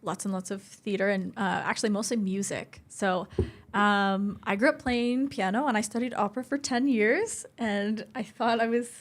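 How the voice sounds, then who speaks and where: A woman's voice: medium pitch, fairly even intonation, normal speed. A woman, talking casually as an interview guest. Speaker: interview guest, arts performer.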